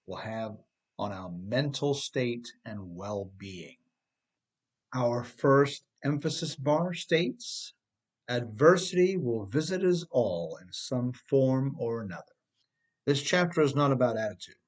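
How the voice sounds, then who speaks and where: Male author-narrator for a self-help audiobook, mid-pitched, instructive, addressing the listener directly. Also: expressive, unhurried. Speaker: author reading own book, narrator.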